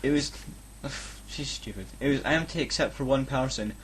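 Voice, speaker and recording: A man's voice: mid-range voice, moderate pace. Exasperated, sweary Scottish man, noisy recording. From Scotland. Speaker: reader on a comedy fan fiction reading recording. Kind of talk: casual mocking read-aloud with asides.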